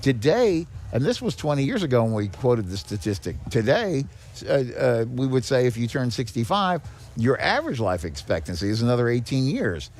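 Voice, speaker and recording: A man's voice: medium pitch, sing-song, normal speed, a little mellow. An older man with a mid-range voice talks as a guest on a podcast. He explains in a folksy, conversational way, leaning on anecdotes and repeating his key points with emphasis. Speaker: podcast guest, head of a seniors' nonprofit. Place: on location at a garage.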